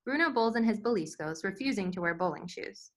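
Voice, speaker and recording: A woman's voice: mid-range voice, expressive, fairly quick. A woman reading screenplay stage directions as scripted narration at a table read.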